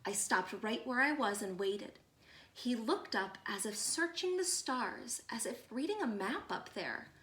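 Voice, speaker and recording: Female storyteller for children's read-aloud: unhurried, expressive, fairly high voice, echoing room. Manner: measured, expressive storytelling with deliberate pauses.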